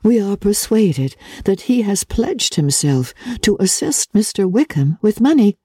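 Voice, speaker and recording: A woman voicing a sensible, gentle young gentlewoman in a classic-novel audiobook, speaking in a measured, earnest way. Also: medium pitch, big pitch swings, husky, dry recording, clean studio sound, voice pushed up. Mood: gentle, reasoning. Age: young adult.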